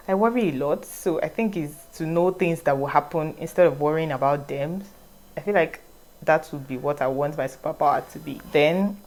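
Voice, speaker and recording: A woman's voice: sits low, rough recording. A young woman from Nigeria with a Nigerian accent, answering viewer questions in a casual vlog, reading each one aloud before she answers it. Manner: reads questions aloud, then answers in a relaxed, rambling way. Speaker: vlogger.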